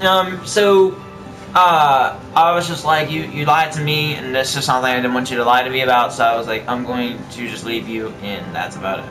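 A man's voice: medium pitch, fairly even intonation, fairly quick, over music, rough recording. A young man chatting casually in a bedroom into a camera a short way off, joking and swearing with a friend. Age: young adult. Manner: profane, joking. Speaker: vlogger. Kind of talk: casual banter. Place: small bedroom. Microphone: webcam or console camera a short way off.

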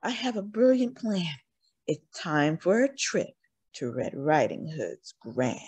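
A sly old storybook wolf, put on by a woman, greedy and full of himself. The delivery is theatrical and gloating, with pitch that leaps about and a slightly husky edge at an unhurried pace. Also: sing-song, darkish tone, exaggerated voice. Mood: scheming.

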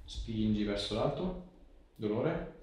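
A man's voice: lowish voice, lively intonation, slow, a little mellow. A man, speaking as a manual therapist questioning and instructing a patient in a consultation. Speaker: osteopath or chiropractor examining a patient. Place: small tiled-floor treatment room indoors. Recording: echoey room, distant camera microphone.

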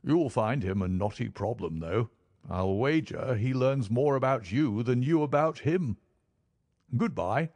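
A man voicing a genial, wry gentleman with a teasing, knowing manner in audiobook dialogue. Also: medium pitch, animated, a little slow, no echo, voice pushed up.

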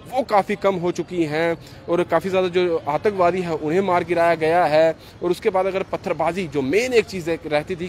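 A man reports live from the roadside into a handheld mic. His voice sits a little high, and he talks in a wordy, repetitive, unscripted flow. Faint street and vehicle noise runs behind him. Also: a little mellow. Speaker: field news reporter. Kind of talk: live on-the-spot reporting, unscripted piece to camera. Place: outdoors on a roadside by a gate and flyover. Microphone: handheld reporter's microphone. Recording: street traffic noise outdoors. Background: street ambience and vehicles.

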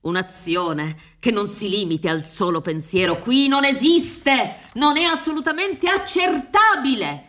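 A pompous female defence advocate in a radio play. The voice is fairly high and very animated, with rhetorical flourishes and theatrical pauses as it pleads. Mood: emphatic, persuasive. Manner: theatrical, rhetorical, halting for emphasis. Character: pompous, wheedling defence lawyer pleading a client's innocence. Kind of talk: radio drama acting. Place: dark theatre stage, reading from script. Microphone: studio microphone with headphones.

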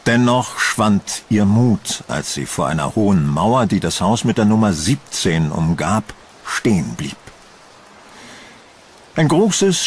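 A man narrating a scripted horror audio drama in a measured, solemn storytelling manner. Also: fairly low pitch, slight background noise.

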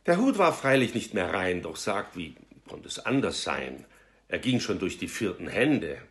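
A man in his fifties reading a verse fable aloud in a theatrical, deliberate storytelling style with emphatic pauses. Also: sits low, sing-song, fairly bright tone. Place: small room at home, indoors.

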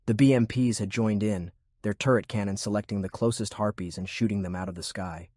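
Male audiobook narrator: low, slightly rough-edged husky voice, very dry close sound. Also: steady tone, a little fast, darkish tone, dry recording. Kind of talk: scripted story narration.

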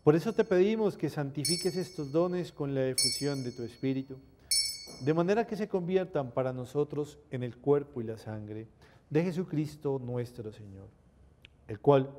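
A middle-aged man, a priest, reciting liturgical prayers in a measured, reverent, solemn way. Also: on the high side, dark tone. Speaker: priest celebrating Mass. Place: indoor chapel at an altar. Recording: reverberant chapel sound.

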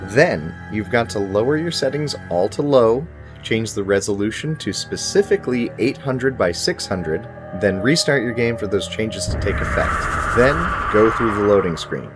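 Male game reviewer, thirties, lowish voice, wry and casual scripted commentary with clear background music. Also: lively intonation, normal speed, hardly any pauses. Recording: music behind the voice.

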